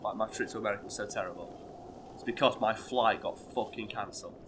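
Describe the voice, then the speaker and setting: A hoarse, ill man swearing freely as he plays a video game and tells a story. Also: fairly low pitch, quick pace, noisy background. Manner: swears freely, bursts into loud outbursts. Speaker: co-host playing a video game. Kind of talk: casual gaming chat with storytelling.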